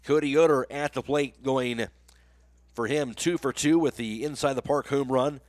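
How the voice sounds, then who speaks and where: A man's voice: medium pitch, normal speed, darkish tone, no room sound. A man working as a radio announcer, reading sponsor spots and recapping the game on a sports broadcast.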